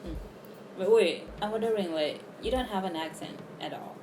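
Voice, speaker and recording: A woman's voice: mid-range voice, moderate pace, a little mellow, roomy sound, soft background music. A woman from Thailand, speaking English as an in a hesitant, giggly video chat full of fillers. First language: Thai. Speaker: channel host chatting with strangers. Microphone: online video call.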